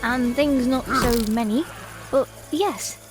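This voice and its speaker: Small gentle female halfling played by a man, modest, high-voiced and unhurried, fantasy story. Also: low-quality recording. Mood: sheepish. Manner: sheepish, measured, modest. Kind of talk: fantasy audio story character. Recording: loud music behind the voice.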